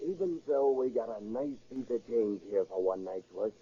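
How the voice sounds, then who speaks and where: An actor playing a gangster in a safecracking crew in a 1930s radio drama. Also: male voice, medium pitch, animated, normal speed, dark tone, slightly rough. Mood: matter-of-fact. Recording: muffled 1930s radio recording.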